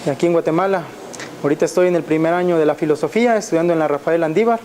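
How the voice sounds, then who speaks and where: A young seminarian in his twenties from Mexico tells his vocation story in a mellow, slightly high voice. He pauses and restarts often, with many fillers, and faint noise hums behind him. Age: 20s. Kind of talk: personal testimony.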